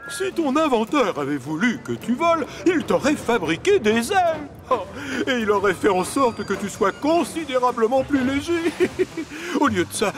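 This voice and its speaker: An actor voicing a blustery, pompous, fatherly railway controller in a children's cartoon dub. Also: high-pitched. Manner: pompous, exclamatory, grumbling. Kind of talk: animated children's series dub.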